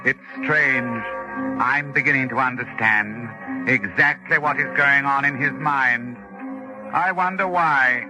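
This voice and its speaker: A man's voice: medium pitch, slow, natural voice, rough recording. Guy doing old radio drama doctor, earnest n brooding, formal theatrical voice, music under it. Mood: grave, resigned. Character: educated doctor, a brooding experimenter facing his own killer. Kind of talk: 1940s radio suspense acting.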